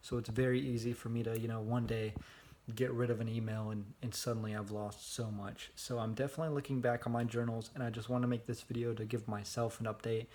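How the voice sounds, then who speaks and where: A young man records a casual vlog on his phone held at arm's length. His voice sits at an ordinary pitch but stays flat and monotone, with little rise or fall. He rambles along with plenty of fillers and restarts. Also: fast talker, slight background noise. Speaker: vlogger.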